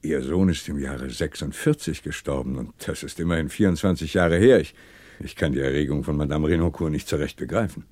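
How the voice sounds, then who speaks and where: An actor playing a composed, wry middle-aged police commissioner in a 1960s German radio crime play, polite and probing. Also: male voice, rumbling low, fairly quick, darkish tone, no room sound. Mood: persistent.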